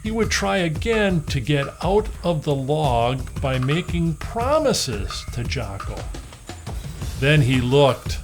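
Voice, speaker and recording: A man reading a children's storybook aloud as an expressive storyteller. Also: a little high, slow, music in the background.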